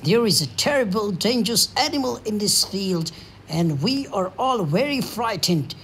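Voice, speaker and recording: A woman voicing a frightened, foolish village man in a storybook, anxiously warning of danger in a husky voice. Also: mid-range voice, animated, normal speed, fairly bright tone, a little hiss or hum, voice pushed down. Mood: fearful.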